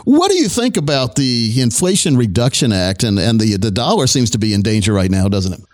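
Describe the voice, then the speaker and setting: A man's voice: mid-range voice, sing-song, fairly quick. A man hosting a talk radio interview in a chatty, folksy manner. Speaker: radio show host interviewing a guest.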